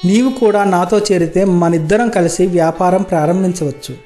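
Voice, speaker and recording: A man playing a gentle, honest merchant in an animated moral story, speaking earnestly and formally. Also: high voice, normal speed, faint music behind, natural voice. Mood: encouraging.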